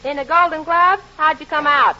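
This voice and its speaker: An actress playing a brash, folksy, wisecracking American gal with slangy clowning delivery in 1940s radio comedy. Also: female voice, sits high, normal speed, bright, forceful tone. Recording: very noisy, dull old radio recording.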